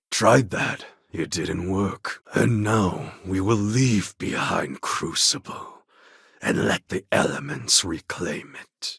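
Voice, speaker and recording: A fierce, proud rebel warlord for a video game. His voice is low and heavily rasped, with gravel in it. He speaks in grave, formal phrases with wide swings of pitch, brooding and commanding. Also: bright, forceful tone, no room sound, clean studio sound, exaggerated voice. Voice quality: husky, gravelly. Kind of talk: video game character acting.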